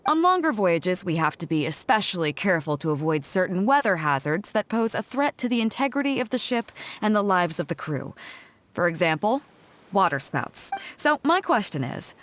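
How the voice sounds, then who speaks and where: A woman's voice: mid-range voice, big pitch swings, moderate pace, lightly acted. A woman playing a confident, teasing female ship captain in a video game, breezy and self-assured. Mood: confident, explanatory. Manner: breezy, playful, self-assured, chuckles while talking. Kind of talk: video game character dialogue.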